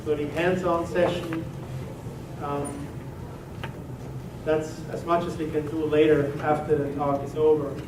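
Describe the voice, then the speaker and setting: A middle-aged Swiss man with a Swiss German accent talks a meetup audience through his work in an easy, conversational way. His voice sits a little high and stays fairly level. It was captured from a distance in a reverberant room, with steady noise underneath. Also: a little slow. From Switzerland. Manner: relaxed and conversational, with frequent "uh" and "um". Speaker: presenter at a tech meetup. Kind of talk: technical talk to an audience. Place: meeting room at a company office. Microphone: room recording from a distance.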